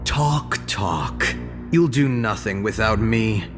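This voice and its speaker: A cold, cocky young assassin who speaks slowly and coolly, every line a calm, cutting boast. His voice sits a little low, with music clearly behind it in the game's voice lines. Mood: cold arrogant. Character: cold, arrogant young male assassin warrior. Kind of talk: video game voice lines. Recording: game audio over music.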